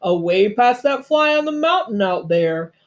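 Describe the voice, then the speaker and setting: A woman voicing a big boastful male bear in a storybook, bragging slowly and emphatically with a bit of growl. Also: medium pitch, expressive, lightly acted. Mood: proud, drawn-out.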